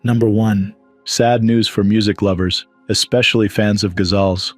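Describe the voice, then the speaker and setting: A man's voice: lowish voice, steady tone, moderate pace, dry recording. A man reading a scripted news voice-over as the narrator. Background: background music.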